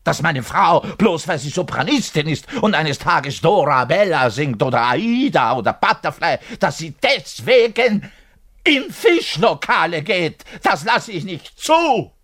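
An actor playing a frustrated, lovesick orchestral double bass player in a radio monologue, bitter and self-pitying, ranting and muttering by turns. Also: male voice, sing-song, unhurried, a bit bright, exaggerated voice. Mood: jealous, indignant. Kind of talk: theatrical radio play monologue addressed to the listener.

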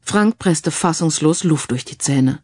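A woman's voice: low-pitched, expressive, measured pace, darkish tone, slightly rough, no echo, clean studio sound. A woman narrator reading a scripted crime story as an audiobook.

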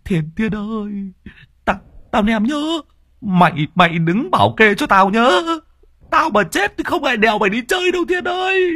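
Shrill panicky old coward. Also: male voice, animated, normal speed, bright, forceful tone, heavily acted. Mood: terrified. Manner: stammering, whining, shrieking.

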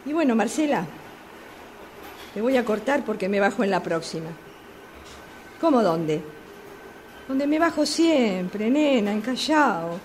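A woman's voice: a little high, animated, a little slow, pauses often. An actress playing a chatty, exasperated woman with a Rioplatense Argentine accent, gossiping and complaining in a radio play about a subway phone call. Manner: gossipy, exasperated, repeating herself. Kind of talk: radio theatre monologue acting. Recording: clearly noisy amateur workshop recording.

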